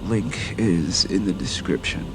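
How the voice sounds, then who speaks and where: Low, gravelly man narrating over music. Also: takes it slowly. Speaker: narrator and presenter. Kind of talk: scripted motivational narration.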